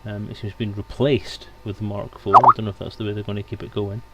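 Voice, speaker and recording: A man's voice: fairly low pitch, little pitch movement, fast talker, heavy background noise. A man hosting a live stream in casual, unscripted, rambling chat.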